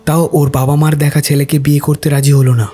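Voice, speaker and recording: A man telling a first-person ghost story for a cartoon, calm and reflective. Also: on the high side, fairly quick, over music.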